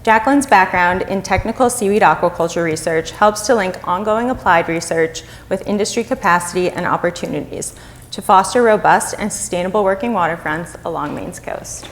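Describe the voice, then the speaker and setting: A woman's voice: on the low side, brisk, a little hiss or hum. A woman hosting a panel discussion, reading guest introductions and posing questions with light laughs. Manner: formal reading of bios, then light laughing. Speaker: host, moderator. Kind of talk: panel moderation. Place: theater stage.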